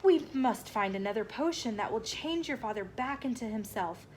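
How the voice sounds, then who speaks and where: A woman's voice: fairly high pitch, expressive, moderate pace, a little hiss or hum, close to an everyday voice. A woman voicing a concerned noble mother in a storybook, urgent and directing. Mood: determined.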